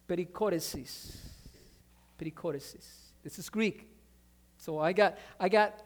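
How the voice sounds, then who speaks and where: A man whose first language is Spanish, preaching a sermon with energy, often restarting words and asking for an amen. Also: sits high. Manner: animated preaching, repeats words, asks amen. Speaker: pastor.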